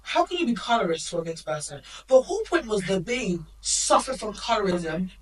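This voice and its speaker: A woman's voice: medium pitch, big pitch swings, distant mic, poor audio. A woman of Nigerian heritage, co-hosting a podcast in emphatic, opinionated casual chat. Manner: opinionated, emphatic, quick asides. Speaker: podcast co-host.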